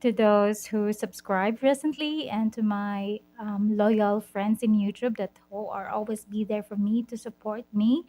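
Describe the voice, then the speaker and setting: A woman from the Philippines with a Filipino accent, Bisaya her first language, reading a viewer's story aloud and giving advice, slow and a little halting. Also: medium pitch, steady tone, warm tone. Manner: slow, careful, reads a bit haltingly. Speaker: vlogger.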